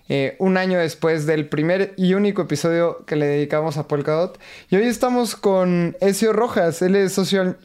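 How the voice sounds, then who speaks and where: A man's voice: sits high, a little mellow, smooth. A man hosting a podcast, introducing the guest in an upbeat, welcoming way. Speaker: podcast host.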